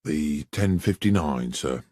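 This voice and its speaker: A man's voice: very deep voice, expressive, takes it slowly, warm tone, breathy edge, no room sound, clean studio sound, full character voice. A man reading aloud, voicing a respectful, matter-of-fact railway station master. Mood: dutiful. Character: middle-aged male railway station master, deferential.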